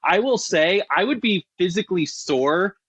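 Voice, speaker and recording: A man's voice: sits high, lively intonation, moderate pace, a bit bright, husky, dry recording. A man hosting a podcast in a casual, conversational interviewing style. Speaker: podcast host.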